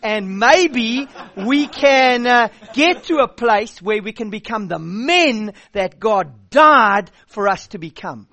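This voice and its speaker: A man's voice: high voice, animated, takes it slowly, few pauses, sharp tone. A South African youth pastor in his thirties preaching a sermon, direct and blunt, with a dry joking edge.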